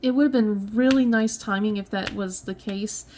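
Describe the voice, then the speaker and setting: A woman's voice: mid-range voice, normal speed, mellow, slight background noise. A woman in her thirties chatting casually as a crafting vlogger, rambling with many fillers and restarts, a little sniffly. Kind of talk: casual chat while diamond painting.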